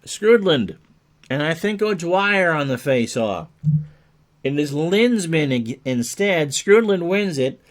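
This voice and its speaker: A man's voice: fairly high pitch, big pitch swings, slow. A man doing chatty play-by-play hockey commentary, mixing clipped calls of the action with joking asides.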